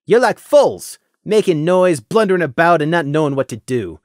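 A narrator voicing a stern, gruff male pegasus squad leader who talks low and commanding. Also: sing-song, moderate pace, darkish tone, dry recording, clean studio sound, natural voice. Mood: scolding. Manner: commanding, low-voiced, scolding.

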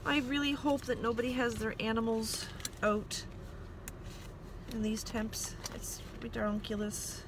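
A woman's voice: on the high side, flat delivery, unhurried. A woman, chatting casually and self-deprecatingly to a phone camera clipped inside her car, rambling and restarting her sentences. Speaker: vlogger. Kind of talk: casual car vlog monologue. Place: inside a parked and driving car at night. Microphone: phone clipped to the dashboard vent. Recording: loud car and road noise.

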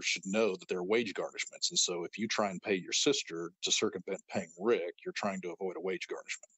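A man's voice: low voice, fairly bright tone, breathy edge, no echo, low-quality recording. A man speaking as an interview guest, recounting events in an indignant, matter-of-fact way.

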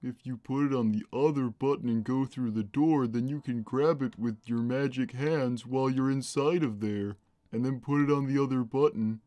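A man voicing a huge, gentle, simple-minded cat who talks plainly and innocently on a gaming livestream. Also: mid-range voice, steady tone, no echo, close to an everyday voice. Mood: placid. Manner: plain, slow, naive, reads chat messages aloud. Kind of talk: in-character gaming livestream chat.

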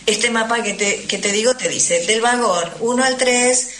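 Radio guest: Argentine woman, forceful bright voice, emphatic storyteller, slightly roomy sound with faint noise. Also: fairly high pitch, normal speed. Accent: Argentine Spanish. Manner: emphatic, repeats words for stress. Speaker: radio interview guest, traveller. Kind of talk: animated conversational recounting.

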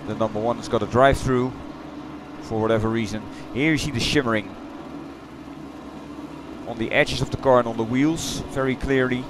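A middle-aged man with a Dutch accent, chatting loosely through a replay of his racing game run, halting and correcting himself as he goes. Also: medium pitch, steady tone, background noise. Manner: halting, rambling, self-correcting. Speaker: sim racing streamer. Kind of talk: casual replay commentary. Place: home gaming room.

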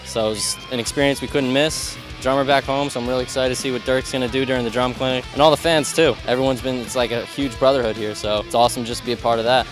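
An excited male fan talks quickly about attending an event. His pitch is mid-range. Music plays clearly in the background. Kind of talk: casual testimonial.

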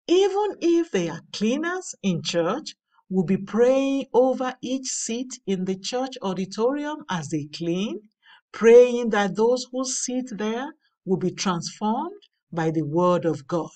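A woman reading a daily devotional aloud in a measured, earnest way. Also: a little high, animated, dark tone, far from the mic. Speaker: devotional reader. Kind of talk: slow scripted devotional reading. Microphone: voice-over over slides.

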